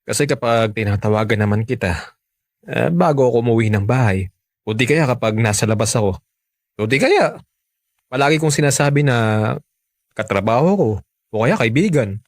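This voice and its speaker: A Filipino man acting in a radio romance drama as a smooth-talking, unfaithful married man, earnest and persuasive. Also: mid-range voice, expressive, moderate pace, long pauses, no room sound, studio quality, lightly acted. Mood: coaxing. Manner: coaxing, earnest.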